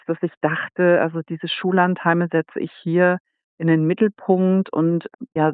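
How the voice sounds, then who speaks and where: A man's voice: fairly high pitch, clean tone, dry recording. A man from Saxony, an author, explaining his book at length in an interview. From Saxony, Germany. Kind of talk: interview guest. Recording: tinny phone-like line.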